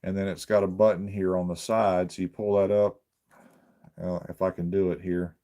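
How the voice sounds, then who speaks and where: A man giving casual unboxing commentary, haltingly thinking aloud as he hosts his video. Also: deep voice, level tone, warm tone.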